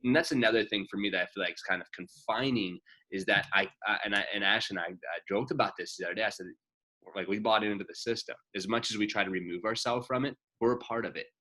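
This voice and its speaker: A man's voice: lowish voice, fast talker, bright, forceful tone, poor audio. A man in his late twenties co-hosting a podcast, rambling casually with frequent swearing and fillers. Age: 20s. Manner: rambling, profane, lots of fillers. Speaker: podcast co-host, gym owner. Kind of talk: casual chat.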